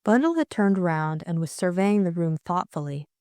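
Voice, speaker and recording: A woman's voice: fairly low pitch, animated, normal speed, darkish tone, dry recording, clean studio sound. A woman narrating a classic mystery novel as a scripted audiobook reading.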